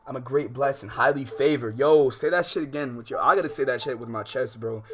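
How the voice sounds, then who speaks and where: A man's voice: mid-range voice, a little fast, fairly bright tone. A man livestreaming a visual novel, mixing casual, slangy, swearing chat with reading game text aloud, often exasperated. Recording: music behind the voice. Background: game music.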